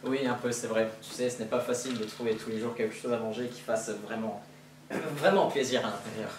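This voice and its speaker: A sly, hungry wolf with a gently coaxing manner, acted by a teenage student. It is a school stage play, caught from far off in an echoing hall. There is a faint hiss underneath. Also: mid-range voice, fairly even intonation, brisk, natural voice. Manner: gentle coaxing, feigned sweetness. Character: smooth-talking male wolf, sly and hungry. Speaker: student actor.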